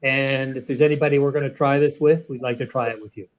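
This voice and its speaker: A man, a guest in an interview, explaining a software product in an animated way, walking through each step. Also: medium pitch, quick pace, lo-fi sound. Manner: animated, walks through steps one by one. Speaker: guest, sales training software executive.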